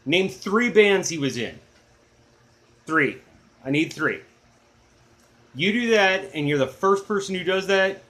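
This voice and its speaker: A middle-aged man with a low, booming baritone, hosting a music channel in a rambling, enthusiastic, self-deprecating chat. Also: expressive, slow, pauses often, sharp tone. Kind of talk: casual chatty video blog.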